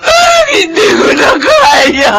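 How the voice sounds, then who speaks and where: High-voiced, anguished Filipino mother. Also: huge pitch swings, slow, shouting, loud music behind the voice, full character voice. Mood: anguished, tearful. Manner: wailing, emotionally pleading. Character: anguished, despairing middle-aged mother and new grandmother. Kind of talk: Filipino radio drama acting.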